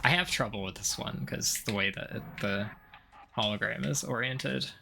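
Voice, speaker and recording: A man's voice: medium pitch, normal speed, gravelly, bright, forceful tone, slight background noise. A man giving casual gameplay commentary, thinking aloud in a hesitant, self-directed mutter with occasional mild swearing. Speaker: gamer doing a video game playthrough.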